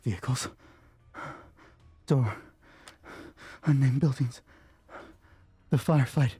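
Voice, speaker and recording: A man narrating a solo tabletop roleplay as game master, improvising and thinking aloud in a halting way while reading out dice. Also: mid-range voice, mellow, breathy edge, no echo.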